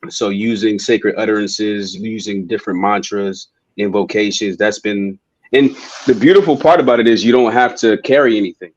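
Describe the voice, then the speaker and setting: A man in a casual spiritual discussion, talking calmly and measured with frequent pauses. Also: lowish voice, dry recording, muffled recording. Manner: calm, measured, pausing with ums.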